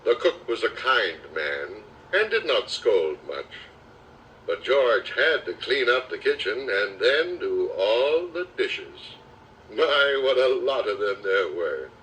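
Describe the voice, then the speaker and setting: Male children's audiobook narrator, slow and lively, dramatic storytelling style, slightly distant cassette sound. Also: fairly low pitch, a little hiss or hum. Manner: expressive, dramatic storytelling delivery. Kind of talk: children's storybook read-aloud.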